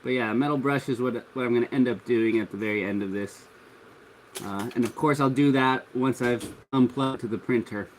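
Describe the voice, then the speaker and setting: A congested man hosting a livestream, chatting in a relaxed, rambling way while he works, chuckling often. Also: medium pitch, fairly quick, slight background noise, rough recording. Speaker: 3D printing livestream host. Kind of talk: casual livestream talk while working.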